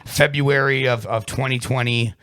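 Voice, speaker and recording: A hoarse man co-hosting a podcast, chatting casually, swearing often and restarting his sentences. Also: medium pitch, fairly even intonation, fairly bright tone, no room sound. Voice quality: swears a lot, quick restarts. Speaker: podcast co-host. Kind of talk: casual banter.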